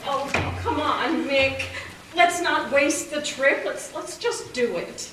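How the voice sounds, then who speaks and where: A woman's voice: high voice, slow. A woman directing a read-through, polite and hesitant with many fillers. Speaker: director casting the play. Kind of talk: casual organizing talk. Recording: distant mic, very echoey room, background noise.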